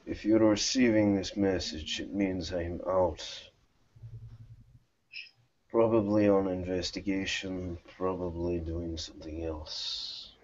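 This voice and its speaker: A man voicing a gruff, curt private investigator in a tabletop game, as if recording a voicemail greeting. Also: deep voice, fairly even intonation, slow, darkish tone, dull audio. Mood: curt, indifferent.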